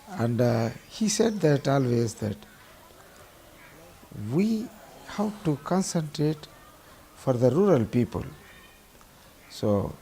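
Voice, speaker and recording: A man with an Indian accent, speaking in a halting, deliberate way as he reflects in an interview. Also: fairly high pitch, gravelly, dark tone, slight background noise. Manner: halting, deliberate, pausing mid-sentence. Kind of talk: documentary interview, reflective recollection.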